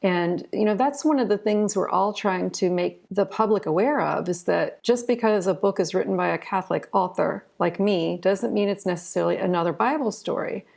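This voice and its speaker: Woman with a creaky voice, talking earnestly. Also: medium pitch, a little fast, hardly any pauses, darkish tone. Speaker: interview guest, author. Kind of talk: conversational interview.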